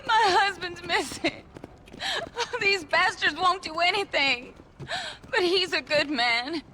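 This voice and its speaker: An actress playing a distraught, pleading frontier wife in a video game, tearful, with an American accent. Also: female voice, extremely high pitch, slow pace, sharp tone, a little hiss or hum, heavily acted. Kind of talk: video game dramatic acting.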